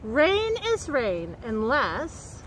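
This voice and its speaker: A middle-aged woman reading a picture book aloud to young children in an expressive, carefully enunciated way, recorded outdoors. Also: fairly high pitch, very slow. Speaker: storybook reader and nature educator. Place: outdoors by a woodland creek. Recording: outdoor background noise.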